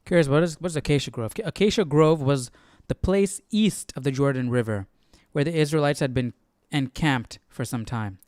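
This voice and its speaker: A man's voice: medium pitch, moderate pace, few pauses, a little mellow, dry recording. A man hosting a casual live Bible study, musing aloud and often checking with a questioning tag. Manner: musing, tags sentences with "right?". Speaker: host.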